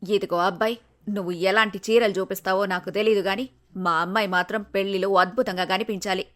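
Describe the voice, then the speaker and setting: A woman acts an excitable, feisty mother-in-law of middle age in a family storybook drama. Her mid-pitched voice has music softly behind it. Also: fairly quick, natural voice. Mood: demanding.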